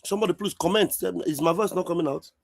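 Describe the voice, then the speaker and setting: A man's voice: sits high, fast talker, dry recording, studio quality. A man hosting a live online stream, giving emphatic, preachy political commentary and repeating his points. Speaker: online show host. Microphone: computer mic on a live video call.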